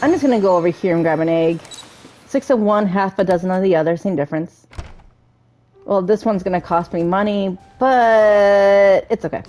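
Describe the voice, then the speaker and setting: A woman giving casual, chatty gameplay commentary, excitable and often interrupting herself. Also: lowish voice, brisk, soft background music. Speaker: video game streamer playing through a story quest.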